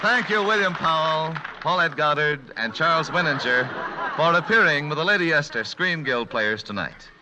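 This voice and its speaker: A man's voice: fairly high pitch, expressive, normal speed, over a noisy crowd, heavy background noise. An American man announcing a 1940s radio show in a scripted broadcast style. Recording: dull vintage radio broadcast with music.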